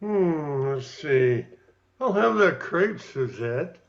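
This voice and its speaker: Man playing arrogant, slow-talking handsome gent. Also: a little high, very animated, exaggerated voice. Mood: fussy, patient. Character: arrogant handsome man, a fussy diner customer. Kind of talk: amateur radio comedy play reading. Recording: dull lo-fi audio.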